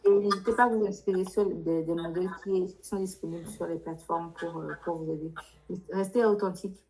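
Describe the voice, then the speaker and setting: A woman with a fairly low voice works as an adviser in a live question-and-answer workshop. She speaks spontaneously in a directive, insistent way and often puts probing questions. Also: fairly even intonation, fairly quick, darkish tone, poor audio. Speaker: adviser leading a workshop for international students.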